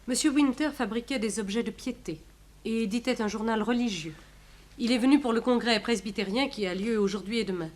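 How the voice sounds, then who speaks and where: An actress playing a prim secretary in a French radio detective drama, answering questions politely and carefully. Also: female voice, on the high side, brisk, darkish tone, lightly acted. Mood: composed, factual. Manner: polite, careful, well-spoken. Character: prim, proper female secretary of a murdered businessman.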